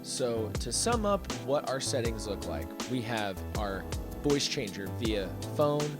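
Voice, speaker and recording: A man's voice: medium pitch, big pitch swings, measured pace. Male tutorial presenter, mid-range voice, explanatory step-by-step delivery, heavy music bed. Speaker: video creator giving a tech tutorial. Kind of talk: instructional tutorial narration. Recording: music behind the voice. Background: background music.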